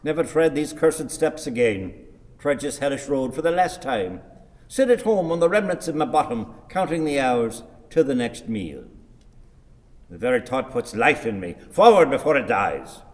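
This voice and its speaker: An actor playing an irascible, sardonic old blind husband in a radio play, grumbling and declaiming theatrically. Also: male voice, mid-range voice, very animated, normal speed, darkish tone, lightly acted. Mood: bitter, wry. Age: older. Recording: reverberant hall.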